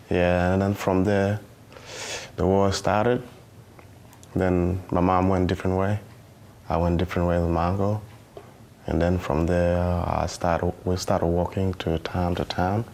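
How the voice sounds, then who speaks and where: A young man of nineteen speaks in a low, level voice. He talks haltingly, with many fillers and restarts. Faint noise sits behind him. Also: darkish tone. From South Sudan. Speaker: documentary interviewee. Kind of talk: personal testimony in a youth documentary.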